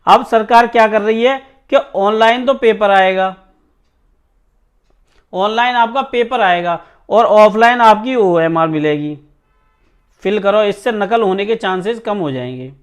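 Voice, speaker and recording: A man's voice: high voice, measured pace, clear, resonant tone. A man speaking informally to students as a coaching teacher, emphatic and often checking that they follow. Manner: emphatic, repeatedly checks listeners understand. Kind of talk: informal exam news talk to students.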